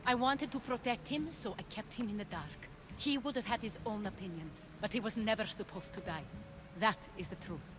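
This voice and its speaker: An actress playing a poised, ambitious female former cult leader in a video game drama, speaking in a measured, persuasive way. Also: mid-range voice, background noise, lightly acted. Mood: regretful.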